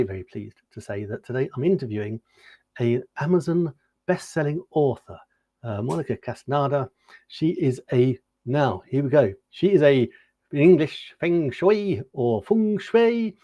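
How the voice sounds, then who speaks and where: A man's voice: mid-range voice, expressive, moderate pace, warm tone, no room sound, muffled recording. A middle-aged man hosting an interview in casual chat, hesitating and repeating words, laughing easily. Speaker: interview host.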